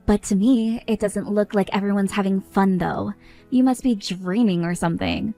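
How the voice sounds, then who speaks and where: A woman's voice: medium pitch, moderate pace, mellow, soft background music, close to an everyday voice. A woman voicing an exasperated young bride who scolds others in an indignant tone.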